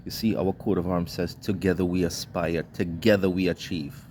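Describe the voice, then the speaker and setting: A man's voice: low voice, steady tone, moderate pace, darkish tone, slight background noise. A man from Trinidad and Tobago delivering a blunt, indignant vlog rant in short clipped sentences, swearing freely. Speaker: vlogger. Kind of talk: ranting monologue.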